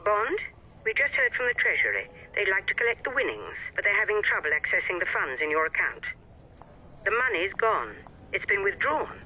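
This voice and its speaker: A man's voice: sits high, sing-song, normal speed, bright, forceful tone, highs cut off, a little hiss or hum, exaggerated voice. A man playing an urgent spy agency operative giving remote instructions in a video game. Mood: grave. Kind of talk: dramatic video game voice acting.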